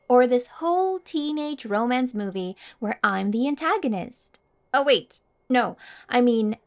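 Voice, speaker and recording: A woman playing a mean, smug, obsessive popular high school girl in an intimate ASMR roleplay, taunting and boastful. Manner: taunting, boastful, condescending, drawn-out teasing. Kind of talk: intimate ASMR roleplay monologue addressed to listener.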